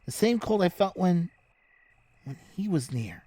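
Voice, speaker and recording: A woman playing an excitable, determined teenager in an audio drama. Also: on the low side, a little slow, husky, no room sound, full character voice. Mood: excited realization. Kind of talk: fan audio drama acting.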